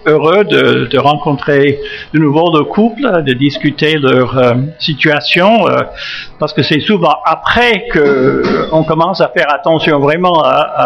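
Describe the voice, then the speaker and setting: An older American man with a gravelly, slightly raised voice gives a halting, joking talk in church, full of pauses and restarts. He is a retired missionary pastor with an English-speaking American accent. The recording sounds dull and lo-fi. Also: fairly high pitch. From United States, Massachusetts. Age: 70s. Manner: halting, frequent fillers and restarts, gently joking. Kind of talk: church talk introducing himself.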